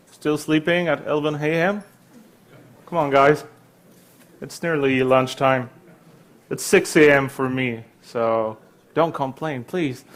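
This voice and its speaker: A man's voice: fairly high pitch, fairly bright tone. A man with a French accent in his thirties, giving a chatty, joking conference talk in a hall, with many fillers. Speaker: conference speaker.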